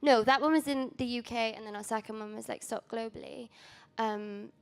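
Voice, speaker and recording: A woman's voice: on the high side, moderate pace, vocal fry, smooth. A young woman in her twenties, a native Welsh speaker, presenting her magazine at a panel talk in a casual, rambling way full of ums and restarts. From Wales. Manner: casual, rambling with many ums and self-corrections. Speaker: magazine founder giving a talk.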